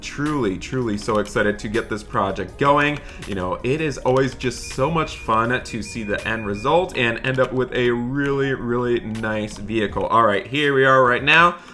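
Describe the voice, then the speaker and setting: An enthusiastic man with a bright, forward voice plays a friendly dad in a video game roleplay. He chats along excitedly, with music behind him. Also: medium pitch, normal speed, hardly any pauses. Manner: enthusiastic, chatty, exclamatory. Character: excited friendly dad shopping for project cars. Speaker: host of a gaming roleplay channel. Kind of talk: improvised roleplay narration over gameplay.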